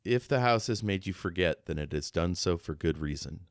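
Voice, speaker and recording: A man's voice: sits low, lively intonation, moderate pace, dry recording, studio quality. A man co-hosting a podcast, talking through a book in a casual, reflective, conversational way. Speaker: podcast co-host.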